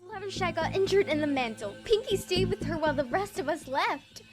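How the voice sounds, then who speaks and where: A woman voicing a kind, earnest young female magical pony, sincere and expressive, in a cartoon fan story reading. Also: very high-pitched, brisk, over loud music, pitched above the performer's own voice. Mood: sincere, regretful.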